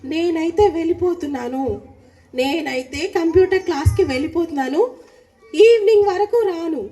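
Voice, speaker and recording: Woman doing a very high-pitched bossy big-sister puppet. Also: normal speed, mellow, soft background music. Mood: resigned, warning. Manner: coaxing, scolding, insistent. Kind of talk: children's puppet show dialogue.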